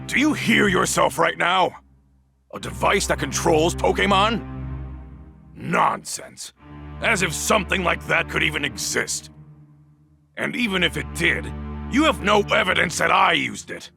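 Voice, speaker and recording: An actor playing a corrupt, indignant police chief in a video game, blustering and dismissive. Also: male voice, on the high side, creaky, heavily acted. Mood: indignant, scoffing. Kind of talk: dramatic video game cutscene acting.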